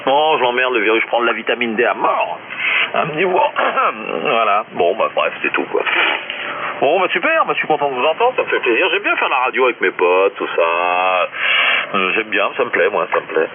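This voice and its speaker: A man's voice: medium pitch, very animated, normal speed. A man chatting casually with friends as an amateur radio operator, jokey, rambling and slangy, over a narrow, noisy shortwave transmission.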